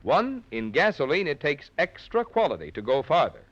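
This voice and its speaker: A man's voice: on the high side, very animated, moderate pace. A male announcer reading a 1940s radio advertisement in a polished, emphatic, persuasive way. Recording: muffled old radio recording.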